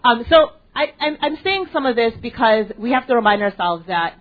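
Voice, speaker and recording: A young American woman speaking to a student audience at a panel event, asking them questions and pausing for ums and restarts. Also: on the high side, lively intonation. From United States. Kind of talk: public talk with audience interaction. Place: library event space. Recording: tinny phone-like recording.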